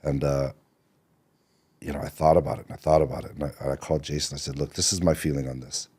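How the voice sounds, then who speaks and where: A man's voice: extremely low pitch, little pitch movement, fast talker, dark tone, slightly rough. A middle-aged man from Seattle, a screenwriter and podcast guest, telling his story in a loose, rambling way with lots of fillers and restarts. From Seattle, Washington. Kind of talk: relaxed interview storytelling.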